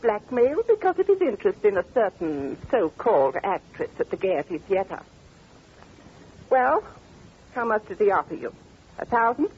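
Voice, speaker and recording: A woman's voice: mid-range voice, very animated, normal speed, a little hiss or hum, natural voice. A woman playing a shrewd, mockingly amused British duchess and former music-hall star in an old-time radio drama, imperious and theatrical. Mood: brisk, amused. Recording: dull, lo-fi old radio recording.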